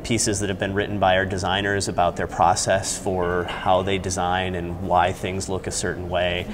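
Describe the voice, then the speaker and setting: Male interviewee, thirties, American, lowish voice, explaining with pauses, noisy lobby location. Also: monotone. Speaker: theater company staff member interviewed as guest. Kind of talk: informal on-camera interview. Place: large indoor lobby. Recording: clear background noise, busy lobby ambience.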